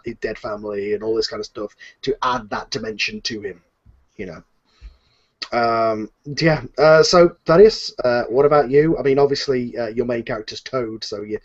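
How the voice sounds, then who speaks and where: Man hosting in dull lo-fi audio. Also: mid-range voice. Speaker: host and series creator. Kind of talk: casual panel Q&A hosting. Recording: dull lo-fi online call audio.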